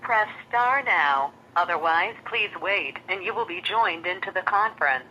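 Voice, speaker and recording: Through a dull telephone connection with clear chatter behind it, a woman's automated voice reads scripted conference prompts. It sounds like a standard phone system announcer. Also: lowish voice, animated, measured pace.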